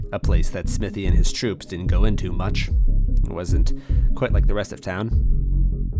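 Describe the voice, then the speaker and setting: A man reading a first-person story aloud in an expressive narrating style. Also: deep voice. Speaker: story reader.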